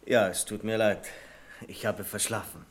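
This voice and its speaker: A man's voice: on the low side, fairly even intonation, normal speed, natural voice. An actor playing a polite, placating male office employee in a German radio drama. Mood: apologetic. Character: courteous, apologetic male office colleague, late for work.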